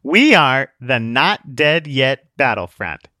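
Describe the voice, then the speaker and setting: A bossy, sarcastic young sniper girl, as a male narrator puts her on, pitched well above his usual voice and pushed louder. She talks in a lively, up-and-down storybook reading, recorded close and dry. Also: slow, no echo, exaggerated voice. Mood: brash. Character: brash, pushy young female sniper girl.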